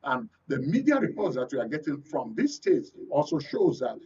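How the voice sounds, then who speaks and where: A man with a Nigerian accent hosting a current affairs discussion, explaining in a measured way. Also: a little high, very animated, dark tone, slightly rough, distant mic, dull audio. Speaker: radio and TV talk show host.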